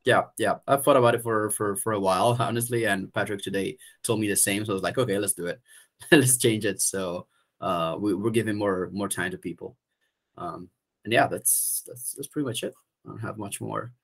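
A man's voice: fairly low pitch, moderate pace, gravelly. A young man with an Italian accent, talking hesitantly with many restarts as he updates a community call from home over a video call. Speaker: event organizer. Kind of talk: casual video call meeting. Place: room at home.

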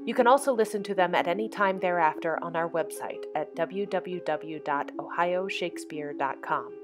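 A woman's voice: lowish voice, moderate pace. A woman announcer reading scripted credits and announcements at the end of a broadcast. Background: theme music.

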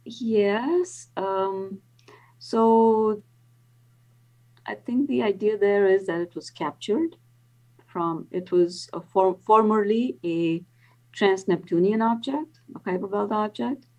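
A woman's voice: medium pitch, takes it slowly, mellow, rough recording. A woman in her sixties, a planetary scientist, explaining carefully on a video call with frequent ums and restarts. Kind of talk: explanatory interview answers.

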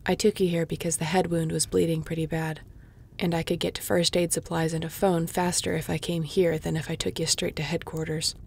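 A woman's voice: low-pitched, flat delivery, brisk, gravelly, a little mellow, breathy edge, heavily acted. A woman playing a grumpy, tough shapeshifter guard who cares begrudgingly, speaking curtly and with weary sarcasm in an intimate audio roleplay. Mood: dry, matter-of-fact. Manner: curt, sarcastic, dry, weary.

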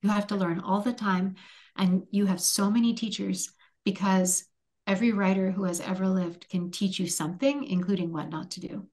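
A woman in her forties whose first language is Hungarian, answering interview questions thoughtfully over a video call from a room at home, with many pauses and restarts. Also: mid-range voice, steady tone, darkish tone. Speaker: author guest.

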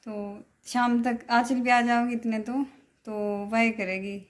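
A woman's voice: fairly high pitch, steady tone, unhurried. A woman in her twenties with a Haryanvi accent, chatting casually to a phone held at arm's length for a family vlog. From Haryana, India. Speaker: family vlogger. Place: tiled room in a house, indoors.

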